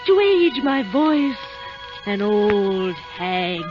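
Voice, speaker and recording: A woman's voice: mid-range voice, sing-song, very slow. An actress playing a wicked, vain queen who becomes an old hag witch, speaking in theatrical, menacing incantations in old radio drama style. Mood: scheming, incanting. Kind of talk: 1930s-40s radio acting. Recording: muffled old radio recording with music.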